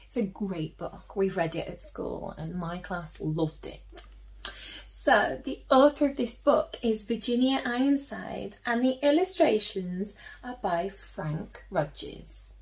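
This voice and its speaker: A woman who teaches and hosts story time, reading a picture book aloud to children in an expressive way, drawing out key words and dropping into hushed asides. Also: medium pitch. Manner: slow, animated storytelling with drawn-out emphasis and hushed asides.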